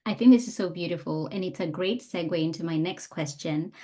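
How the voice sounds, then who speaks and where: A woman's voice: on the low side, brisk, dark tone. Woman, podcast host, interviewer, encouraging questions. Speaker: podcast host, life coach.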